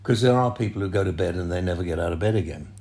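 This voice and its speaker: A man's voice: sits low, racing, darkish tone. An older man, hosting a casual podcast chat and laughing often. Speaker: podcast host.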